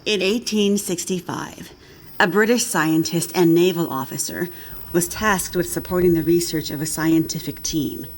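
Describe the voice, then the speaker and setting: An actress playing an older woman scientist in a video game, brusque and intense, speaking in a measured, learned and emphatic way. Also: fairly low pitch, slight background noise, rough recording. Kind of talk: dramatic video game acting.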